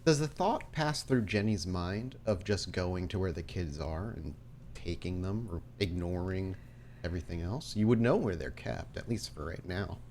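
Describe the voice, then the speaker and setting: A man narrating and refereeing a tabletop roleplaying game as game master, asking measured questions of his players. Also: sits low, lively intonation, a little mellow, slight background noise.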